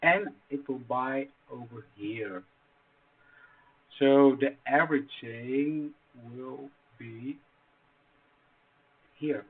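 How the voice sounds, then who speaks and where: A man with a Dutch accent walks through a chart tutorial at an unhurried, slow pace. He halts often and restarts his sentences with plenty of fillers. The audio sounds narrow and tinny, like a phone line. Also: medium pitch. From Netherlands. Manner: halting, many fillers and restarts. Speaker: trading strategy explainer. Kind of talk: screen-share tutorial.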